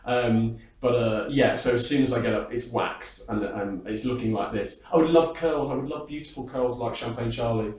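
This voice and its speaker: A British man talks casually in an interview, his voice set back from the microphone in a very echoey room. He rambles amiably through his answers, hesitating often and gently poking fun at himself. His pitch sits in an ordinary male range. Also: moderate pace, hardly any pauses, recorded from a distance. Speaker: interviewed singer and entertainer. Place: backstage at a music hall.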